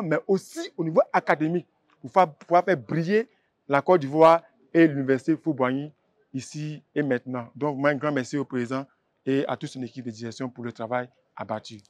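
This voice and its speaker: A man with an Ivorian accent giving an earnest, semi-formal spoken tribute in a measured way. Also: on the high side, dry recording.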